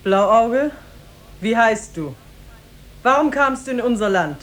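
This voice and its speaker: An imperious young sultan in disguise. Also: female voice, on the high side, animated, takes it slowly. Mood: stern. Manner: formal, declamatory, imperious. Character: young former slave woman ruling as disguised sultan, commanding. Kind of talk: 1950s German radio play acting.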